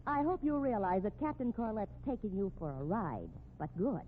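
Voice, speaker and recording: Wisecracking female reporter played by an actress, brisk and slangy, 1950s radio drama, animated delivery, tinny vintage recording. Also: medium pitch, slight background noise, natural voice. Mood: teasing. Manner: sassy, slangy, no-nonsense.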